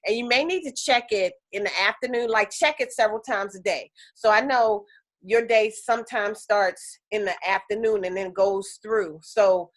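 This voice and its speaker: A woman teaching an energy healing class on a video call, chatty and animated, with lots of fillers. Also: mid-range voice, normal speed.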